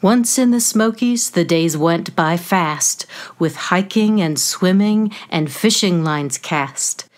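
Slow-paced woman reading a rhyming storybook. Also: fairly low pitch. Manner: expressive, measured storytelling. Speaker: author reading aloud. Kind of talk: storybook narration in rhyme.

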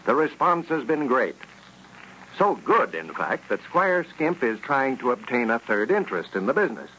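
Vintage American male announcer. Polished, sharp and highly animated delivery for sponsor reads and recaps, on noisy old radio. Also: normal speed. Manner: polished, presentational.

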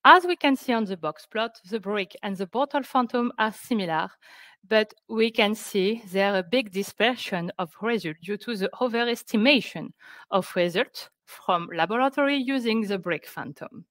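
A woman presenting scientific results on a webinar, speaking careful, halting English. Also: mid-range voice, lively intonation, fairly bright tone, dry recording. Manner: careful, halting non-native English delivery. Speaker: researcher presenting project results. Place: indoors on a video call.

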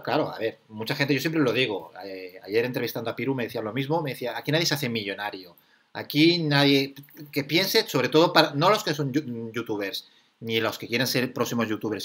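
A man from Catalonia hosting a casual interview, asking questions in a relaxed, conversational way. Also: medium pitch. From Catalonia, Spain. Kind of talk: casual video-call interview.

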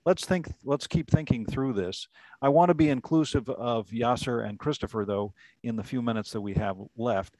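A middle-aged man moderates a video-call panel through a headset mic, his voice dry and close with no room sound. He speaks at an average pitch and often restarts his phrases. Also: moderate pace, no room sound. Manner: warm-mannered, restarts, many fillers. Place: home room, indoors.

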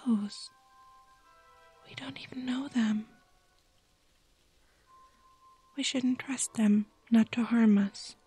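A woman playing a suspicious, menacing young gothic sister in a whispered ASMR horror roleplay, hushed and taunting. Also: a little high. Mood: wary, hostile. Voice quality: breathy, whispery.

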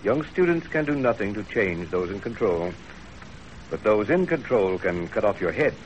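An actor playing a stern, cautious wealthy Chinese banker father, measured and admonishing, in 1930s radio drama on an old recording. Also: on the low side, very animated, muffled recording, a little hiss or hum. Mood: stern, cautioning.

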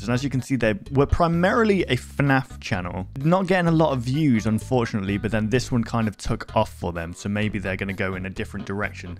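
A man in his twenties hosting a reaction video, chatting casually and rambling in a conversational way, close on a desk microphone. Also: mid-range voice. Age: 20s. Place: small home room, indoors. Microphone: close desk microphone with headphones.

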